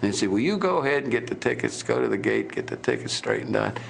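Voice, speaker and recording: Very gravelly older American man. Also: mid-range voice, lively intonation, quick pace, a little hiss or hum. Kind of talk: interview anecdote.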